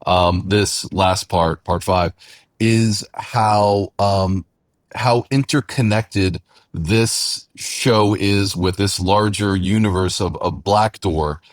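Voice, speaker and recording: A man's voice: low voice, slow, no room sound. A man talking casually as a podcast host, discussing his show in unscripted conversation.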